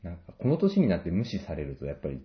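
Very deep-voiced young man, animated intonation. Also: racing. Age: late 20s. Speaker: guest, stage actor. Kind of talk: joking radio chat. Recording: dull lo-fi audio.

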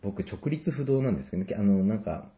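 Very low male voice, roomy recording. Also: lively intonation, fast talker. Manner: self-deprecating, hesitant, joking. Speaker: guest, stage director and actor. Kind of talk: casual interview chat. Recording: roomy, dull lo-fi audio.

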